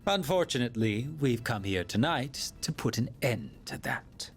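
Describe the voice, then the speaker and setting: An actor voicing an eerily polite, menacing villain in a video game, theatrical and drawn-out. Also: male voice, medium pitch, expressive, faint music behind, natural voice. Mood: calm menace.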